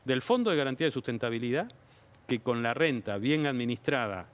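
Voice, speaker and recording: A male official with an Argentine accent, answering TV interview questions. He explains policy patiently and backs it with figures. His voice is of ordinary male pitch. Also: expressive, fairly quick. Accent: Argentine Spanish. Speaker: government official. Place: TV studio.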